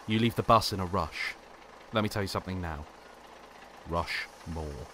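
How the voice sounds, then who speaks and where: A man's voice: deep voice, normal speed, fairly bright tone, a little hiss or hum. A man playing a mysterious, knowing stranger who speaks cryptically and with command in audio drama voiceover. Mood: cryptic, controlled.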